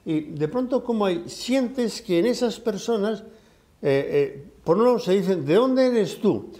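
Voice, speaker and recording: A man's voice: high-pitched, expressive, measured pace, dark tone. An older man, a former professor, talking things over thoughtfully with many hesitations. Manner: thoughtful, with frequent fillers and hesitations. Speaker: retired professor. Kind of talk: conversational discussion.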